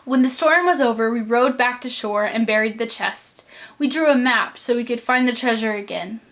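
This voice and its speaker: A woman reading a children's storybook aloud with expressive narration. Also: a little high, moderate pace.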